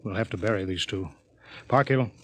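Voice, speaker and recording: Firm, low-voiced spaceship captain. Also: male voice, a little fast, husky. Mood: commanding. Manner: authoritative, clipped orders. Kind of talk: 1950s radio drama acting.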